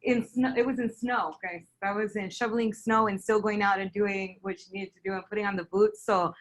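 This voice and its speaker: A woman hosting an interview, upbeat and quick to laugh. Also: mid-range voice, steady tone. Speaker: host.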